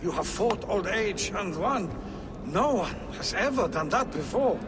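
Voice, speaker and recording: A man playing a boisterous, mocking Norse feast reveller who declaims in a video game, over loud ambience. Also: high-pitched, expressive, measured pace, noisy background. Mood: wry, announcing.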